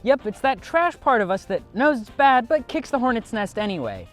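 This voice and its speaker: A man's voice: very high-pitched, sing-song, normal speed, fairly bright tone, faint music behind. A young man hosting a comedic video essay, sarcastic, profane and self-deprecating.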